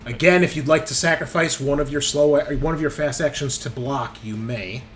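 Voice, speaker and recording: A man's voice: mid-range voice, normal speed, a bit bright. A man acting as game master in a tabletop roleplaying game, narrating action and explaining the rules. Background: background music.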